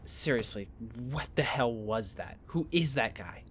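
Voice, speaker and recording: Exasperated, animated young guy over background noise, acted out. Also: medium pitch, lightly acted. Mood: incredulous. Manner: incredulous, halting, sweary. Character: exasperated sarcastic young man, suspicious best friend. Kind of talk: naturalistic audio drama dialogue.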